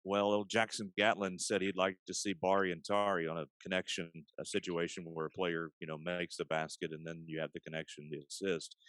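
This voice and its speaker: A man who works as an arena announcer, answering interview questions in a measured, explanatory, conversational way. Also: sits low, fairly bright tone, no echo.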